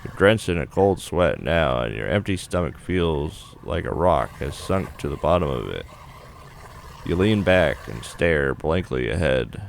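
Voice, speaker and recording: A man's voice: a bass voice, animated, measured pace, creaky, slight background noise. A man reading a visual novel aloud as dramatic narration for a gaming channel.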